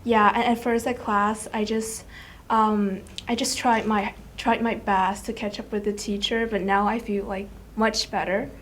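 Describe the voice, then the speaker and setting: A woman's voice: medium pitch, level tone, measured pace, a bit bright, slight background noise. A teenage girl from China, a student, chatting casually and hesitantly with many fillers. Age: teens. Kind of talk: casual interview chat.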